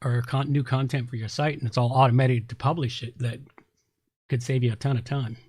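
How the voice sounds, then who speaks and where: A man's voice: medium pitch, little pitch movement, a little fast, darkish tone, husky, no echo. Male podcast co-host, mid-range voice, blunt and informal, dry close sound. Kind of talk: casual opinionated tech chat.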